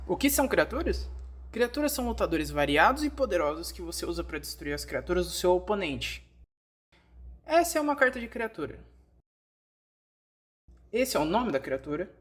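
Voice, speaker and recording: A woman's voice: on the low side, breathy edge. Brazilian woman narrating a tutorial. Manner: explanatory, step by step. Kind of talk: scripted instructional narration, fan dub.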